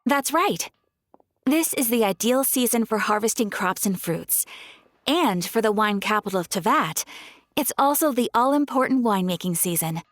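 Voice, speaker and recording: A woman's voice: a little high, normal speed, no room sound, lightly acted. A woman voicing a polite, formal guild receptionist in a game cutscene. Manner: courteous, even and formal.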